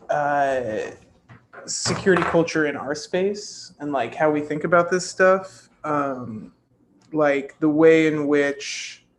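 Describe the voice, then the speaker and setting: A man's voice: on the high side, a little hiss or hum. A man hosting a casual group discussion, thoughtfully posing open questions to the others. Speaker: podcast discussion host.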